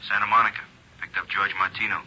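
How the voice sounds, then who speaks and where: An actor playing a laconic police sergeant giving reports in a 1950s radio crime drama. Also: male voice, low-pitched, little pitch movement, fast, natural voice. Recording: dull, noisy vintage radio recording.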